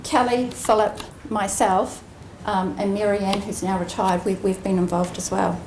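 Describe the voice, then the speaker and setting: Kiwi woman giving a formal speech at a ceremony, mid pitch, stresses key words, echoey room w a bit of background noise. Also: flat delivery, unhurried. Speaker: aviation training executive giving a speech. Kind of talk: prepared graduation ceremony speech. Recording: roomy echoing venue, faint background noise.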